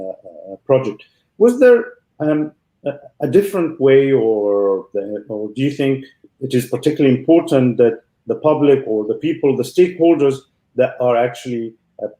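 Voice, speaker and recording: Hesitant man, mellow voice, echoey room. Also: medium pitch. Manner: hesitant, many fillers and restarts. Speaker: podcast host, lecturer. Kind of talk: interview questions.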